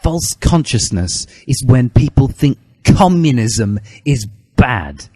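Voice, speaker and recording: A man voicing a self-righteous teenage communist zealot in a comic dramatic reading, indignant and emphatic with drawn-out words. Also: mid-range voice, very animated, dark tone, breathy edge, no room sound, full character voice. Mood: preachy, insistent.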